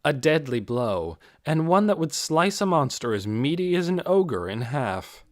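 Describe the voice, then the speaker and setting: A man's voice: on the high side, very animated, unhurried, no echo, clean studio sound. A man reading a fantasy audiobook as dramatic scripted narration.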